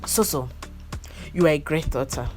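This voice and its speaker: A woman's voice: deep voice, moderate pace, a little mellow, soft background music. A young woman chatting casually about a movie, repeating herself and breaking into laughs. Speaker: movie reviewer. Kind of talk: casual movie review chat.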